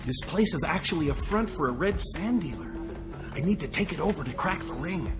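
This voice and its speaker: An eager, self-important fanboy who fancies himself a space hero in a video game. His pitch leaps around animatedly as bluster turns to earnest pleading. Music plays clearly behind him. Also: lively intonation, a little fast. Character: delusional, boastful wannabe male space hero, eager fanboy.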